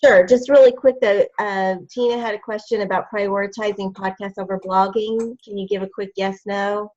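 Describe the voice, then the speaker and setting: A woman co-host giving a relaxed, casual announcement with fillers. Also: mid-range voice, moderate pace, dull audio. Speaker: co-host announcing next week.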